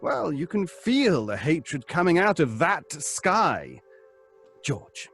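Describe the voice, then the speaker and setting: An actor playing a psychologist who speaks in a measured, advising way in a live audio drama. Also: male voice, on the high side, sing-song, fairly bright tone, full character voice. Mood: grave. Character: calm, grave male psychologist. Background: faint live music.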